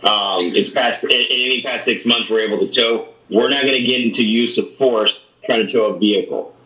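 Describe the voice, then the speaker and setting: Halting deputy in an echoey room. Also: male voice, on the low side, sharp tone. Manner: halting, many restarts and fillers. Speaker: sheriff's deputy. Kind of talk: briefing at a community meeting. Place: meeting room.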